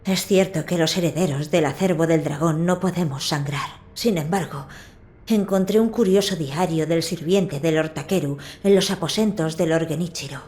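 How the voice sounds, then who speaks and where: Woman voicing a composed young noble lord, even-toned. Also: sits low, close to an everyday voice. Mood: earnest. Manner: formal, composed, measured. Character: young noble child lord, heir of dragon bloodline, calm and earnest. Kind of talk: dubbed video game cutscene acting.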